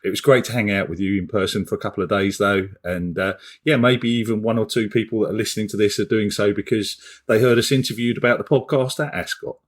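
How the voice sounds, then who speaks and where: A man co-hosting a podcast, croaky from a cough, talking casually with a lot of ums and uhs. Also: fairly low pitch, fairly even intonation, quick pace, hardly any pauses. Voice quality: husky. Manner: hesitant, many ums and uhs. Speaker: podcast co-host.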